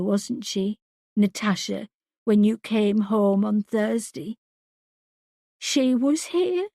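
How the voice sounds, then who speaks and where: A woman voicing a distraught mother whose daughter is missing, pleading and shouting accusations at her husband. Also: medium pitch, creaky, no room sound, clean studio sound. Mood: accusing.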